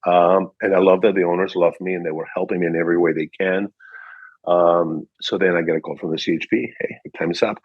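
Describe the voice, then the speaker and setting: A man's voice: sits low, slightly rough. A man in his sixties from Peru with a Peruvian Spanish accent, telling casual anecdotes as an interview guest and animatedly acting out quoted lines. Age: 60s. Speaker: interview guest, car dealership director. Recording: dull, echoey room sound.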